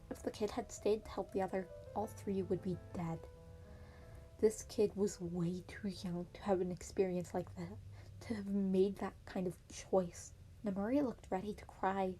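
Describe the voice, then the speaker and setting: Woman narrating a story over soft music. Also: medium pitch, poor audio. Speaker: fan fiction narrator. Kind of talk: amateur audiobook story narration. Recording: piano music behind the voice.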